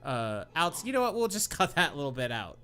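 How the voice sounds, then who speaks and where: Young man reading aloud, lively and mock-dramatic. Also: fairly high pitch, fairly quick, soft background music. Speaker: podcast host, reader.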